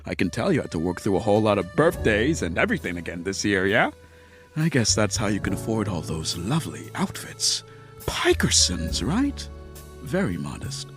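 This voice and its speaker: A man's voice: lowish voice, very animated, normal speed, fairly bright tone. A man acting a smug, boastful and crude rival talent scout in an audio drama, pompous and needling. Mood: smarmy. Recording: music playing behind the voice.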